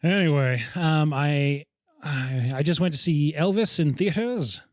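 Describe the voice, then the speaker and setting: A young man hosting a casual movie podcast, chatty and quick to laugh, keen on film history. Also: fairly high pitch, no echo, studio quality. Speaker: podcast host.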